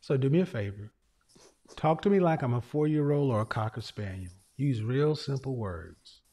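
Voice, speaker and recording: A man's voice: medium pitch, expressive, normal speed, warm tone. A man hosting a call-in show, blunt and interrupting, with a big voice and a habit of repeating his phrases. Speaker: show host. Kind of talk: live call-in show talk.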